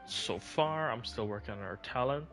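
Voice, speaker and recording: A man's voice: mid-range voice, animated, moderate pace, a bit bright. A man giving casual live commentary as a game streamer. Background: game music behind the voice.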